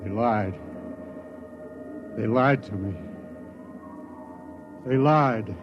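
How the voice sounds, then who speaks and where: An actor playing a distraught, blinded crewman in halting, overwrought 1950s radio drama style. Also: fairly low pitch. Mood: dazed, awed. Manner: halting, repeating, overwrought delivery. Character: distraught blinded crewman, feverishly sure he can see. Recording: dull vintage radio recording with music.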